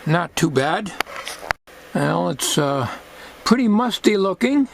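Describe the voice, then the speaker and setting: An older man talking casually as he works at a bench in a home workshop, plain-spoken and musing, with halting delivery. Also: high voice, lively intonation, gravelly. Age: 60s or older. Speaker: hobbyist radio restorer. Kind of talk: casual talking while working. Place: cluttered home electronics workshop, indoors. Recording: noisy home workshop recording.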